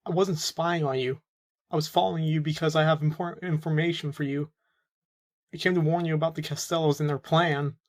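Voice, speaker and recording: A man's voice: on the high side, steady tone, brisk, close to an everyday voice. A man playing a young captive forced into gang work in an audio drama, earnest, protesting and defiant. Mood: earnest, insistent. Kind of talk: amateur audio drama roleplay.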